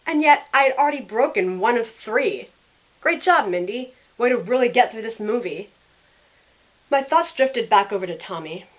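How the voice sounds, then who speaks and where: Woman as young woman, medium pitch, wry, self-questioning, first-person storytelling, audio drama. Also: close to an everyday voice, rough recording. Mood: flustered, self-questioning.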